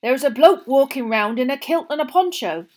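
Woman playing a wary gruff campsite owner, blunt, loud, raised pitch. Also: expressive, unhurried, exaggerated voice. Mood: suspicious. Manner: blunt, wary, plain-spoken. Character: suspicious gruff male campsite keeper.

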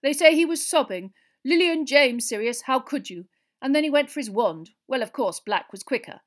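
A woman voicing a pompous middle-aged male government minister in a storybook, officious, measured and grave. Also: high voice, animated, voice pushed up.

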